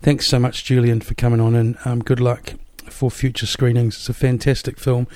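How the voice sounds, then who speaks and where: A man's voice: mid-range voice, level tone, moderate pace, darkish tone. A man from New Zealand with a Kiwi accent, hosting a casual interview chat from his small apartment, rambling with many restarts. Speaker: podcast host. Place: small rented studio apartment.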